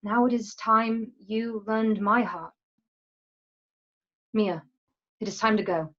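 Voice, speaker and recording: An actress as a solemn, loving and resolute sister in a Western radio drama. Her phrasing is formal and measured, mid-pitched, on a dull home microphone. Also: fairly bright tone. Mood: firm, unapologetic. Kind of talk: online radio play acting.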